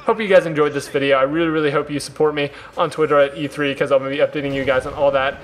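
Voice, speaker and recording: A young man, a gaming YouTuber, chatting excitedly to his viewers, rambling and repeating words, his voice a bit hoarse from losing it. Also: on the high side, racing, poor audio. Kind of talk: casual vlog talk to viewers over gameplay.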